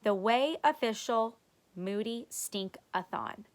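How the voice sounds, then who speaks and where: Storybook big sister, played by a woman: school-age girl, mocking and challenging, lively pitch swings. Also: takes it slowly. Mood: bossy.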